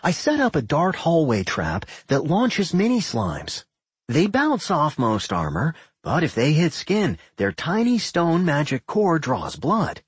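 A gleeful tentacled dungeon monster, pitched high and swooping wildly. The creature is excitable and manic, exclaiming everything with eager encouragement in a fantasy storybook. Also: male voice, measured pace, husky, dry recording, exaggerated voice. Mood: eager explaining.

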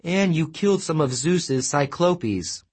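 A man's voice: fairly high pitch, animated, slow pace, darkish tone, slightly rough, voice pushed up, exaggerated voice. A man voicing a stern, dry goddess.

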